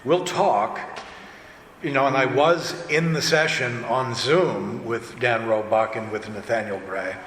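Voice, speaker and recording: A man's voice: mid-range voice, unhurried, a bit bright. A man speaking as a director on a panel, telling anecdotes in a chatty, informal way. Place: indoor convention panel room. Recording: echoey hall, faint noise.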